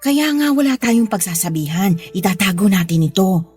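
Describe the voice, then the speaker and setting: Lonely, seductive widow, swooping voice. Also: female voice, medium pitch, lively intonation, moderate pace, a little mellow, breathy edge. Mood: coaxing. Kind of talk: Tagalog radio drama acting. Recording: background music under the voice.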